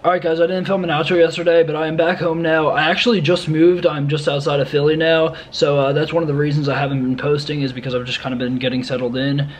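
A young man in his twenties talking casually to the camera as a vlogger, relaxed and unsure, with lots of fillers, recorded on a handheld phone. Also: fairly high pitch, quick pace, hardly any pauses, slight background noise. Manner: relaxed, uncertain, many fillers. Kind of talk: casual vlog walkthrough. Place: wooded trail outdoors, then apartment living room.

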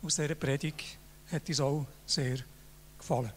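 A man's voice: a little high, steady tone, normal speed, slight background noise. An older man with a Swiss German accent, speaking into a handheld mic on a stage in a large hall, presenting a prize in an informal, praising way. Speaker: jury member presenting an award. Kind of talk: Swiss German laudatory speech. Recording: hall reverb.

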